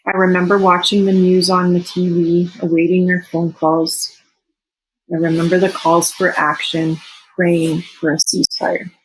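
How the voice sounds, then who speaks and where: A Canadian-born woman in her forties whose first language is Serbo-Croatian, reading a personal reflection in an earnest, measured way. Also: fairly low pitch, level tone, slightly rough, rough recording. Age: 40s. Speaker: teacher sharing a personal reflection.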